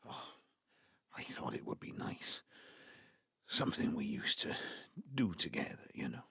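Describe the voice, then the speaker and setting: A man's voice: sits low, sing-song, unhurried, gravelly, fairly bright tone, husky, full character voice. An actor playing a folksy, jovial Welsh widower in his seventies from the Valleys, rambling and chummy, in an audio drama. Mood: rueful, teasing. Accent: South Wales Valleys Welsh. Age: 70s. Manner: rambling, chummy, groping for words. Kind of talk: naturalistic audio drama acting.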